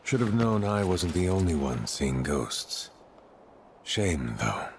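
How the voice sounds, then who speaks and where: An actor playing a brooding, cryptic keeper of a powerful card in a video game, speaking in a formal, measured, ominous way. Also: male voice, deep voice, sing-song, a little hiss or hum, exaggerated voice. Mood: grave, cryptic. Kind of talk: video game cutscene acting.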